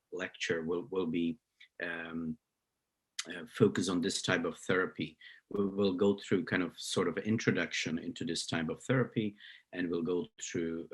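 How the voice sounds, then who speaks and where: Middle-aged Slovak-accented man, deep husky voice, hesitant professor lecturing. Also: fairly bright tone. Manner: hesitant, many fillers and restarts. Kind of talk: recorded lecture.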